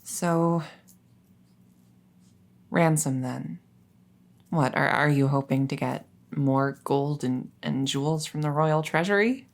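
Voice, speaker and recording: A woman playing a coy, flustered young crown princess in an intimate audio roleplay, speaking in a halting, stammering, nervous way. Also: deep voice, gravelly, exaggerated voice. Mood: curious, coy. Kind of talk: intimate audio roleplay acting addressed to the listener.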